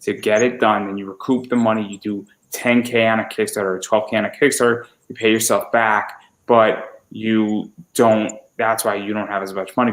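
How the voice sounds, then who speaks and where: A roomy, echoey recording of a man in his late twenties with a fairly low voice. He talks casually like a podcaster in conversation, often repeating his first words in a stammering run before getting into the sentence. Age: 20s.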